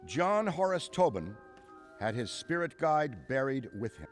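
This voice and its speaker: A man's voice: medium pitch, sing-song, a little slow, faint music behind. A man playing an earnest, wordy paranormal investigator in a video game, with precise, florid wording. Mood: solemn. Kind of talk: video game character acting.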